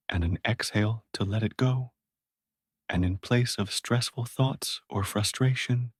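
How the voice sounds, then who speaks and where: Man doing a sleep meditation, low breathy voice, slow and soothing, close dry mic. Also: dry recording. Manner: slow, soft and soothing, with long pauses.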